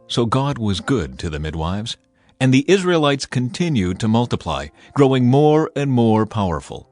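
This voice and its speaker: A man reading scripted Bible narration in a measured, expressive storytelling manner. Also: mid-range voice, dry recording. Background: background music.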